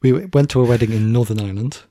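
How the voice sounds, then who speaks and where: A man's voice: mid-range voice, rapid-fire, dark tone, no room sound, studio quality. A man co-hosting a casual podcast with his wife, chatty and prone to repeating himself as he corrects his own slips. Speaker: podcast co-host. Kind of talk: casual chat.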